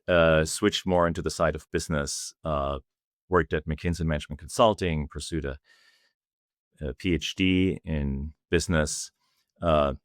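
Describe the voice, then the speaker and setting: A middle-aged man with a German accent, speaking in an unusually deep, low register. He answers interview questions in a measured, explanatory way. The recording is close and dry, with no room sound. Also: no echo. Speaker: guest, company founder.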